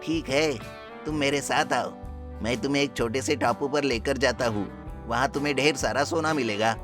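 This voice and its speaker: A man's voice: mid-range voice, normal speed, natural voice. A man voicing a preachy magical talking fish in a children's story. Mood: helpful. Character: stern magical talking fish, moralizing and helpful. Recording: music bed under the voice.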